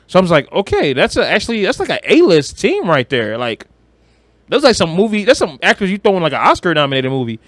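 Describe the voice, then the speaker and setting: Male podcast co-host, slightly high pitch, very dry recording; informal, slang-heavy, opinionated pop-culture talk. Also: wildly swooping pitch, fast, a bit bright, dry recording. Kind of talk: casual pop-culture chat.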